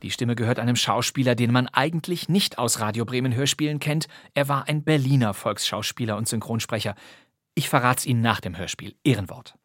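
A man's voice: mid-range voice, a little fast, fairly bright tone, no room sound. Man presenting in a dry, close studio sound. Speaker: podcast host. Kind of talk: scripted introduction, presenting a radio play.

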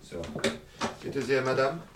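A man's voice: medium pitch, animated, slow pace, very noisy. A man acting a discreet, courteous hotel director in a 1970s radio play, formal, grave and a little hesitant.

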